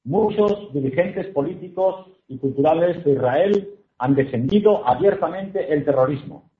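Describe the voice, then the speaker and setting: A man's voice: on the high side, animated, moderate pace. A man from Spain working as a consecutive interpreter, paraphrasing each phrase into Spanish with frequent hesitations. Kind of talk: consecutive interpreting at a book presentation. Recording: echoey hall, tinny phone-like sound.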